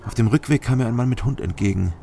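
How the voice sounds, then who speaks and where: An actor playing a weary, hard-drinking private detective who narrates a crime radio drama in terse, clipped sentences. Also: male voice, mid-range voice, fast talker, vocal fry, dark tone, a little hiss or hum, natural voice. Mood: brooding. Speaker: narrator and lead investigator.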